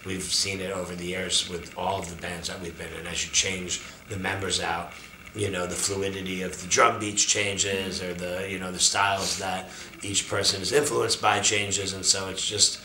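A man with a very low, gravelly voice talks casually and thoughtfully, circling back and restarting his sentences. The room is echoey and loud with steady background noise. He sounds recorded from a distance by a camera backstage. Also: normal speed. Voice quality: deep, gravelly voice. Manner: rambling, thoughtful, many restarts. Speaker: band member. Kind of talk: casual interview answers. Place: backstage green room, on a couch.

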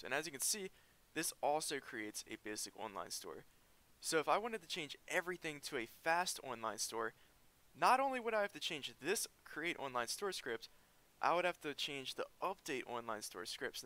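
A man's voice: medium pitch, pushed and bright. A man teaching a programming lesson over a screen recording, explaining each coding step as he types. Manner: explains step by step while typing. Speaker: programming tutor.

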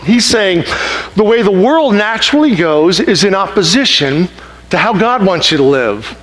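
High-voiced, animated middle-aged pastor. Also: male voice, normal speed, low-quality recording. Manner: animated, acts out imagined complaints, moves around the stage. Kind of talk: sermon. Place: large church auditorium stage.

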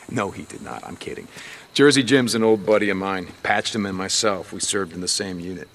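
An American actor playing a gruff, wry outlaw biker in a video game, with halting, sardonic delivery. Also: male voice, low-pitched, expressive, fairly bright tone, a little hiss or hum. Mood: dry teasing. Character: gruff, wry outlaw biker, adult man, guarded but teasing. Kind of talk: naturalistic cinematic game acting.

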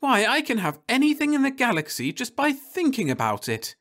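A giddy, grasping young wife, as a male narrator puts her on squeals in a high, breathless storybook voice. She is thrilled by treasure and bossy about keeping it, and her pitch leaps well above a man's usual range. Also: wildly swooping pitch, a little fast, a bit bright, heavily acted. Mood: excited. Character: excited greedy young wife, woman. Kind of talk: audiobook character voice.

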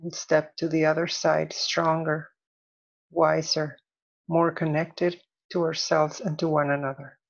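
Slow, low-voiced woman guiding meditation. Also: little pitch movement, gravelly, muffled recording. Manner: soothing, deliberate, measured phrases with long pauses. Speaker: meditation instructor. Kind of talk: guided meditation.

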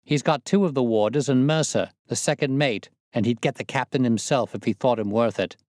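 A man's voice: medium pitch, brisk, no echo, studio quality, voice pushed up, close to an everyday voice. A man reading a story aloud, voicing a boastful, swaggering young male convict who leads a plot. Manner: boastful, coarse, excitable.

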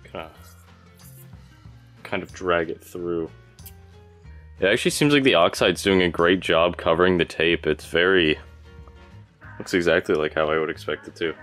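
Low-pitched young man, casual DIY narration. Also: a bit bright. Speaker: DIY electronics video maker.

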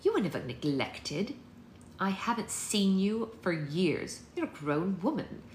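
A woman's voice: medium pitch, sing-song, unhurried, voice pushed up. A woman voicing a haughty, cool-headed mother with a clipped, dismissive, snappish manner. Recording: roomy, faint background noise.

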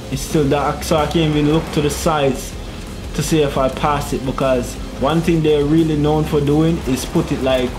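A young man talks through his gameplay into a headset in a small room at home. He is chatty, mutters and laughs to himself, and game music plays clearly behind him. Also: medium pitch. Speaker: gaming YouTuber. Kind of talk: casual gameplay commentary. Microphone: gaming headset.

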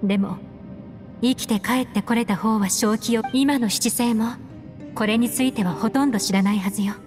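A woman voices a composed, secretive female investigator in an anime game. Her voice sits a little high and her phrasing is measured and poised. Soft music plays underneath. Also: natural voice. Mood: grave, confiding. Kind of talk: Japanese anime game dialogue.

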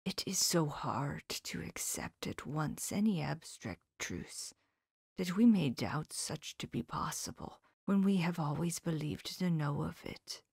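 A grave, tender old professor , voiced by a woman reading the book aloud, leans in and speaks in a soft, breathy near-whisper. His formal, old-fashioned phrasing comes slowly and deliberately, low and quiet. The sound is dry and close to the mic. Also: animated, no room sound, heavily acted. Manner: hushed, often whispered, slow and solemn. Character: elderly male professor, grave, tender and sorrowful. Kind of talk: audiobook character voice.

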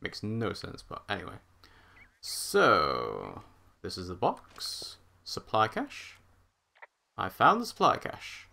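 A man commentating live on a game he plays, chatty and thinking out loud. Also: on the low side, huge pitch swings, slow, vocal fry. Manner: chatty, thinks out loud, hums while walking. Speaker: streamer. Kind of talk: live let's-play commentary.

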